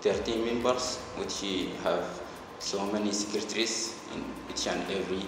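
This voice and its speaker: Deep-voiced young man in an echoey hall. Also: steady tone, background noise. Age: young adult. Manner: halting, pausing between short phrases. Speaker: student council president. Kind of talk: formal introductory speech to camera. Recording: echoey hall, music behind.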